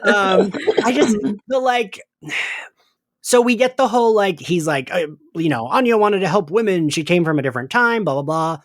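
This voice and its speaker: An animated man in casual podcast conversation, with pitch that moves a lot. He is emphatic and sweary, often breaking off and restarting his sentences, in a dry recording. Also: moderate pace, no echo. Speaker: podcast host. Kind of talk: casual chat, recapping an audio drama.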